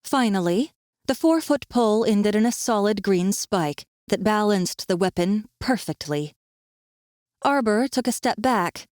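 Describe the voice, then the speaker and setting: A woman's voice: mid-range voice, expressive, a little slow, darkish tone, no room sound. Woman narrating fantasy, dry close sound. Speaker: audiobook narrator. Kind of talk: scripted narration, fantasy story.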